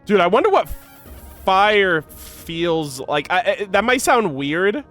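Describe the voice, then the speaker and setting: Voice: medium pitch, big pitch swings, slow pace, fairly bright tone, faint music behind. A streamer giving casual, slangy, exclamatory reaction commentary on a game to chat. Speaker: reaction video creator.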